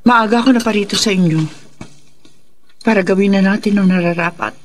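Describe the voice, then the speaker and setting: Actress as a solemn, resolute faith healer. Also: female voice, medium pitch, slight background noise, natural voice. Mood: grave, commanding. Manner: solemn, deliberate, authoritative. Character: resolute faith healer mother battling evil spirits. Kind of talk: Filipino horror radio drama acting.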